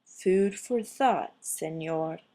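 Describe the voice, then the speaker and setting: A woman's voice: lowish voice, drawn-out words, mellow. A woman voicing a proud, dainty young female cockroach in a storybook, with a dry, ladylike manner. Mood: icy.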